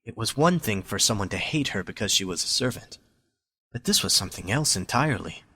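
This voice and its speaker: A man's voice: fairly low pitch, lively intonation, brisk, sharp tone, husky. A man reading scripted story narration as the narrator of an amateur audio drama.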